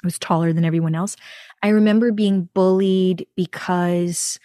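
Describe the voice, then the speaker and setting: A woman's voice: lowish voice, steady tone, normal speed, mellow, no room sound, studio quality. A woman hosting her own podcast, telling her own story in an earnest, confiding way and often checking in with "right?". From New York, USA. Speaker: podcast host. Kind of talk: personal storytelling monologue.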